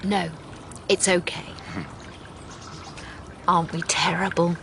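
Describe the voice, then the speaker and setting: A woman's voice: fairly low pitch, little pitch movement, slow pace, vocal fry, fairly bright tone, slight background noise, exaggerated voice. An actress playing a languid, flirtatious rich woman in a radio drama.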